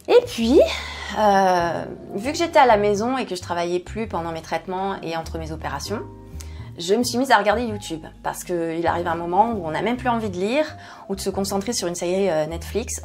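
Woman in her 40s vlogging from her kitchen, chatty, laughs at herself, lots of euh, soft music underneath. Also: mid-range voice. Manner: chatty, self-mocking, many fillers. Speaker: skincare YouTuber. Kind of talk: candid personal vlog. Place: home kitchen set, indoors. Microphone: fixed camera, seated at medium distance.

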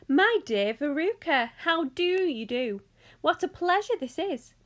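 A woman voicing an effusive, excitable old male factory owner in a high, fluty voice for a storybook. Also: unhurried. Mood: effusive delight. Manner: gushing, exclamatory, polite.

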